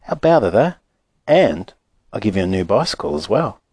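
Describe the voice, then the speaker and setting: A man voicing a tall, sly man who speaks in a hushed, coaxing way in a children's audiobook. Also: lowish voice, sing-song, a little fast, husky, no room sound. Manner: whispering, coaxing.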